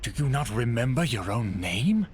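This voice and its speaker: An actor playing a commanding older general, a self-assured villain in a video game, speaking grandly and formally. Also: male voice, mid-range voice, very animated, normal speed, background noise, lightly acted. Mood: intense, persuasive. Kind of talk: dramatic video game acting.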